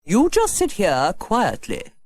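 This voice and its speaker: A woman's voice: medium pitch, huge pitch swings, slow pace, a little mellow, full character voice. A woman voicing a bossy, commanding, no-nonsense village lady for a children's storybook audiobook. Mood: bossy, insistent.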